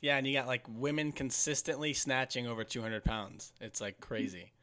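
A man hosting a podcast, asking his guest questions in an easygoing way. He keeps things moving with short acknowledging replies and speaks at an average pitch for a man. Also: moderate pace, crowd noise. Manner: relaxed, with frequent short backchannel replies. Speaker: podcast host. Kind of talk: casual interview questions.

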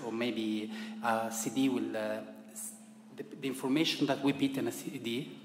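A middle-aged man with an Italian accent, a publisher giving formal remarks at an event in a halting, measured way with broken grammar. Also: medium pitch, slight background noise. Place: event room. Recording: long reverb, faint room ambience.

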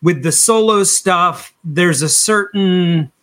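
A man's voice: sits high, slow, a bit bright. A man speaking as an interview guest in a casual, enthusiastic and informal conversational style. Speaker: podcast guest, band singer.

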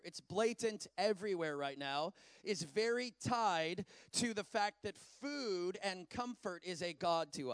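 High-voiced guy in his 40s preaching, chatty and animated, smooth clear tone, dry sound. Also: no echo. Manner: animated, conversational preaching with asides. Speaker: pastor. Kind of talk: sermon.

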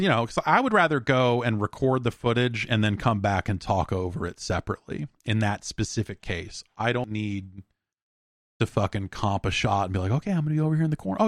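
Male podcast host, mid-pitched voice, loose and blunt solo storytelling with plenty of swearing, recorded close and dry. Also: no room sound. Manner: profane, blunt, informal. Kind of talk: casual rambling solo talk with anecdotes.

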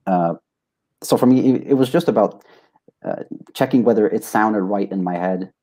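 A young man from Sweden, a native Swedish speaker, giving casual, halting, modest answers as an interview guest. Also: lowish voice, dark tone. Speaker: interview guest, test taker.

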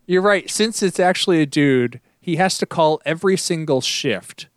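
A man co-hosting a casual podcast chat, speaking in a measured, thoughtful way with some hesitation. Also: fairly high pitch, animated, no room sound, studio quality. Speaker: podcast co-host.

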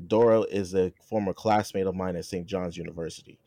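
A man hosts a laid-back podcast in a fairly low voice. The sound is dry and close. He trips over words, repeats them and corrects himself as he asks questions. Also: steady tone, fairly quick, warm tone, no room sound. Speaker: podcast host. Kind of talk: casual podcast interview.

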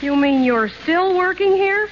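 An actress in a 1930s radio play playing a sardonic household maid with a dry, deadpan, wisecracking delivery. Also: female voice, extremely high pitch, a little slow. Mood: wry. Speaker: Molly. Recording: dull old radio broadcast with hiss.

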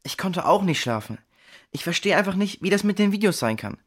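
A performer playing an anxious, self-doubting boy in a children's audio drama, hushed and hesitant. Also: medium pitch, big pitch swings, brisk, slightly rough. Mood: tired, unsure.

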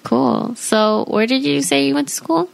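A woman's voice: high-pitched, moderate pace, creaky, no room sound. An American woman from New York hosting a podcast, chatting in an informal, slangy way. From New York, United States. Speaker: podcast host. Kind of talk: casual podcast chat.

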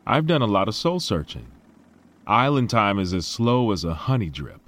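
A very low-pitched male voice as a genteel billionaire inventor in a sci-fi audio drama. He talks in formal, reflective phrases, smooth and sure of himself and his ideals. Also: very animated, moderate pace, a bit bright. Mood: reflective, proud. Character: male billionaire tech mogul, self-assured, idealistic, genteel.

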